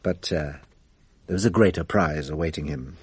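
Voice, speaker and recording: An actor playing a shrewd, self-assured French police detective in a radio drama, explaining in a measured, theatrical way. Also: male voice, rumbling low, slightly rough, full character voice. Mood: grave, explaining.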